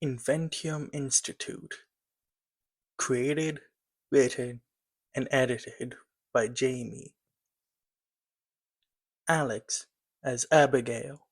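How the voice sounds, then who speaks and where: A man with a husky voice reading the end credits of an audio drama. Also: mid-range voice, steady tone, drawn-out words, long pauses.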